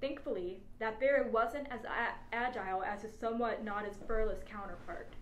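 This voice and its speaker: A woman's voice: on the high side, fairly even intonation, moderate pace. A woman reading out a humorous adventure story as its narrator, in scripted prose. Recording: echoey space with faint background noise.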